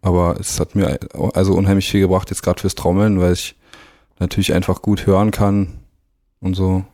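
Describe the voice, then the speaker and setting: A man's voice: low voice, normal speed, no echo, clean studio sound. A young man from East Berlin who grew up in Brandenburg, a drummer chatting as a radio guest with easy laughs. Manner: relaxed, laughs often, anecdotal. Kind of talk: casual radio chat.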